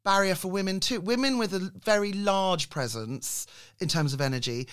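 A woman's voice: mid-range voice, moderate pace, clear, resonant tone. Chatty woman podcast host. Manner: chatty, enthusiastic, self-deprecating asides. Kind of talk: podcast interview.

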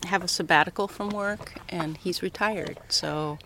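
A woman's voice: on the low side, normal speed. A middle-aged American woman from Colorado chatting casually as an interviewee outdoors. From Colorado, United States. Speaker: interviewee, professor. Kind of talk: casual conversation. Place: outdoors on a coastal path. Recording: light outdoor ambient noise.

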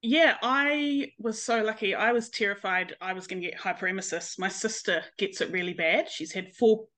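A woman's voice: mid-range voice, moderate pace, fairly bright tone. A woman in her late thirties with a New Zealand accent tells her story openly and candidly. She talks in a frank, easygoing way and often laughs. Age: late 30s. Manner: open, frank, laughs easily. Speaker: guest, nurse and mother. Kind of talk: personal story in interview.

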